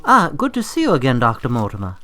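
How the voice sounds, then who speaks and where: A man's voice: medium pitch, wildly swooping pitch, fast talker, pushed and bright, faint music behind. A man playing a respectful, friendly estate gardener in a radio drama, hedging as he answers. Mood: welcoming.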